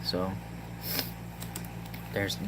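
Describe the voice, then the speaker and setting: A man with a Puerto Rican accent chatting casually to his viewers about a handheld gaming device, halting with lots of ums and restarts. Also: heavy background noise. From Puerto Rico. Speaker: tech vlogger. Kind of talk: casual rambling tech talk to viewers.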